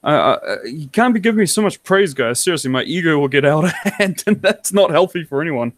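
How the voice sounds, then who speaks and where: A man's voice: fairly high pitch, lively intonation, brisk, dry recording, clean studio sound. A man born in England and raised in New Zealand, with a mixed English and New Zealand accent, chatting casually on a call and laughing at himself. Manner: self-deprecating, laughs often. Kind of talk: casual group chat.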